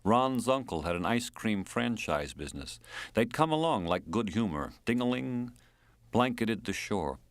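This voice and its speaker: A male narrator acts out a wry, earthy American man in an audiobook. The voice sits deep and very dry, with an American accent. He reminisces in clipped, colloquial fragments. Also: expressive, a little slow, no room sound. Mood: wry, reminiscing.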